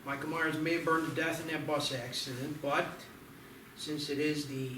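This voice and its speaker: A man reading the part of a gruff, wisecracking but devoted sheriff and husband in an amateur screenplay table read, plain-spoken and wry. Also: fairly high pitch, pushed and bright. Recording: echoey, noisy, distant microphone.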